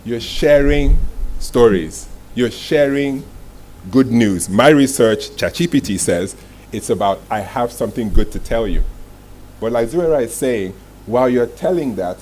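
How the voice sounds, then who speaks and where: A man's voice: medium pitch, animated, a little slow, darkish tone. A man presenting a motivational masterclass to a live audience, telling stories emphatically in short dramatic phrases with rhetorical questions. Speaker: masterclass speaker, communications executive. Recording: clearly noisy live room recording.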